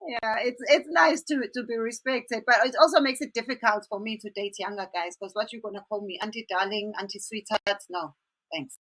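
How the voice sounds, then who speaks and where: A woman's voice: a little high, normal speed, pauses often, bright, forceful tone, low-quality recording. Playful woman host on a webcam. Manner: playful, teasing questions. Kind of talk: casual video-call chat. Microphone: webcam on a video call.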